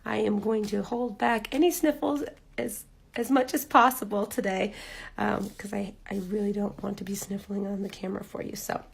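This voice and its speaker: A woman with a hoarse, raspy voice leading a live craft tutorial, chatty with lots of ums, dropping almost to a whisper as she works. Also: medium pitch, normal speed. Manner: chatty, relaxed, frequent ums, drops to near-whisper while focusing. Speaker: rock painting instructor.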